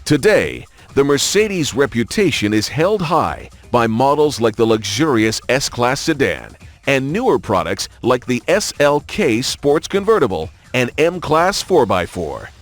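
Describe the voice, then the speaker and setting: A man reading scripted documentary-style narration in a polished, measured announcer delivery. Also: medium pitch, big pitch swings. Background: background music.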